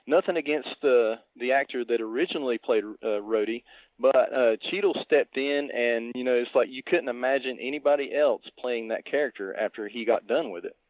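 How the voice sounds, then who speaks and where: A man co-hosting a podcast over a remote call, chatting casually about film in a halting, agreeable way. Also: medium pitch, no echo. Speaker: podcast co-host. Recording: tinny phone-like line.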